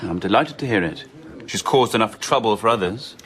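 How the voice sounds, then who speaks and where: Actor as bitter, passionate young Victorian gentleman. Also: fairly low pitch, expressive, fairly quick, a crowd in the background, close to an everyday voice. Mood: scornful. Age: young adult. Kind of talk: period radio drama acting.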